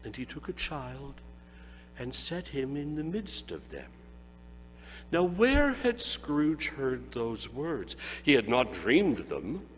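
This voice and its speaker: A man narrating a dramatic reading of a classic story in an expressive, measured way. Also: mid-range voice. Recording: hall reverb, faint mains hum.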